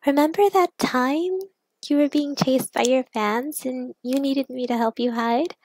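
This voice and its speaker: A woman voicing a young superheroine in an audio story, playful but anxious, confiding in an expressive, earnest way. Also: sits high, unhurried, a little hiss or hum, pitched above the performer's own voice, rough recording. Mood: playful, teasing.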